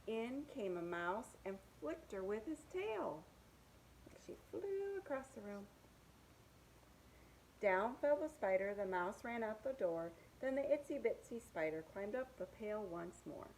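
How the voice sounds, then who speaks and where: A woman's voice: medium pitch, very animated, unhurried, darkish tone, slight background noise, rough recording. A woman reading picture books aloud to young children, with sing-song rhymes and simple asides about the pictures.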